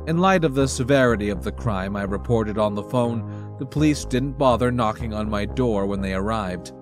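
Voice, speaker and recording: A man narrating a scripted short story in an expressive reading style. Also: medium pitch. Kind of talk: animated scripted fiction narration. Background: music.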